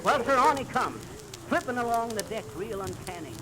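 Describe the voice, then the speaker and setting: A high-pitched American boastful teller of tall tales in a 1930s radio comedy. His folksy delivery swoops theatrically through every brag, over a noisy vintage recording. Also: animated, normal speed. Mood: dramatic, swaggering. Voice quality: folksy, colloquial diction. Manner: bragging, theatrical storytelling.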